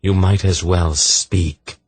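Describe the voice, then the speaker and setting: A man's voice: low-pitched, steady tone, slow, husky, no echo, full character voice. A man voicing a stern sorceress in a fantasy audiobook, cold, imperious and sure of her power. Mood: stern, commanding.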